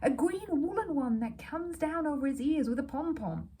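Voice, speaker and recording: A woman's voice: high voice, lively intonation, moderate pace, warm tone, slight background noise, voice pushed up. A woman voicing an earnest, slightly flustered, well-meaning lady in a storybook. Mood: shouting.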